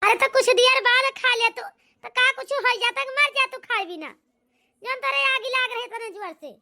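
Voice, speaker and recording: A scolding, ungrateful cartoon daughter played by a woman, bossy and full of contempt. Her pitched-up voice is extremely high and piercingly bright. She snaps in an Awadhi accent. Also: moderate pace, full character voice. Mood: angry, contemptuous. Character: Poonam, harsh, scolding, ungrateful grown daughter. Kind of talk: amateur cartoon comedy-drama acting.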